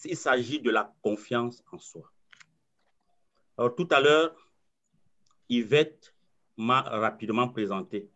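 A man's voice: medium pitch, slow, pauses often, fairly bright tone. A man in his forties from Cameroon, a coach giving an online presentation in an earnest, self-assured way, often repeating words as he thinks. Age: 40s. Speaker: coach and trainer. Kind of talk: webinar presentation. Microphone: video call. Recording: slightly muffled video call audio.

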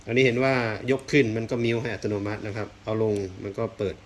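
Low-voiced man unboxing over background noise. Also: fairly even intonation, quick pace. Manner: thinks aloud, exploratory, frequent polite particles. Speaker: unboxing video host. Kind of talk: casual hands-on product unboxing commentary. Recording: clear background noise, rustling and clicking.

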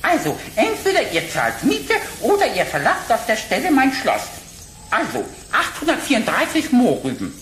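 Cocky wisecracking bunny guy from a kids cartoon tape, pitch all over the place, faint noise behind. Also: huge pitch swings, normal speed, fairly bright tone. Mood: indignant, bold. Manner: brash, wisecracking. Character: cheeky, bold, bargaining cartoon rabbit, male. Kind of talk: German audio play for children, cartoon acting. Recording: roomy, faint background noise.

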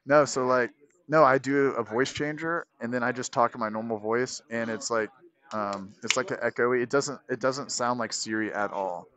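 A man's voice: mid-range voice, fairly even intonation, normal speed, fairly bright tone. A man chatting casually as a guest streamer, rambling and joking as he goes. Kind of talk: casual livestream chat.

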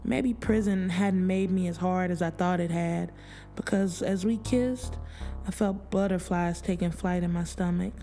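Woman, audiobook narrator, mellow tone, expressive slangy storytelling, faint music underneath. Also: on the low side, a little slow. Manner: expressive, streetwise slang delivery. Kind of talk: first-person dramatic fiction narration. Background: background music.

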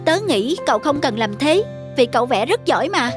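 An earnest young schoolboy played by a woman in a cartoon, very high in pitch and sincere in delivery. He sounds loyal and principled, over clearly audible music. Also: lively intonation, normal speed. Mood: forgiving. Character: earnest, loyal schoolboy, hurt but forgiving. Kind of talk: cartoon dubbing. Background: prominent music.

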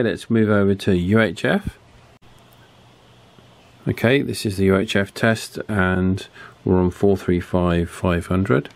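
A man's voice: sits low, darkish tone. A man with a British accent giving a casual, chatty hands-on product review in colloquial language. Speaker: radio reviewer.

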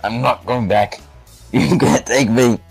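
Man as a husky, panicky echidna knight. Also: mid-range voice, slow pace, a little mellow, soft background music, full character voice. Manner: broken muttering, nervous pleading. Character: panicky, unhinged male echidna knight, pleading and whiny. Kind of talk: improvised comedy fan dub.

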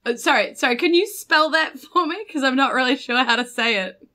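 Female tabletop player, New Zealand accent, bright high voice, playful casual chat. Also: fairly quick.

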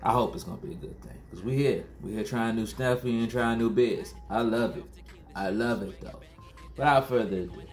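A man in his thirties talks straight to the camera from a small room at home, loose and slangy, circling back over the same phrases. His pitch sits in the middle for a man. Faint music plays underneath. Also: brisk, darkish tone. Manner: laid-back, slangy, repeats himself. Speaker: beer review vlogger. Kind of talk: casual beer review to camera.

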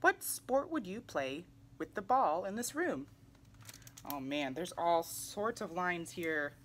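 A young adult woman reading a children's picture book aloud in an animated way, putting questions to young listeners. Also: fairly low pitch, takes it slowly, a little hiss or hum. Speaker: read-aloud storyteller for children. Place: small indoor room, seated in armchair.

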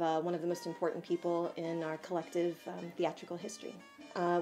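A woman's voice: on the low side, a little mellow. An American woman in her thirties, a theater artistic director, speaking casually and enthusiastically to camera. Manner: enthusiastic, gushing with superlatives. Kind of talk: casual promotional address and interviewing colleagues. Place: small indoor offices of a theater.

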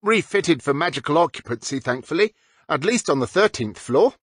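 A man's voice: fairly high pitch, expressive, husky, no room sound, clean studio sound, voice pushed up. Man putting on a pompous, disdainful uncle. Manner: pompous, brisk. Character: disdainful, stuffy middle-aged wizard uncle.

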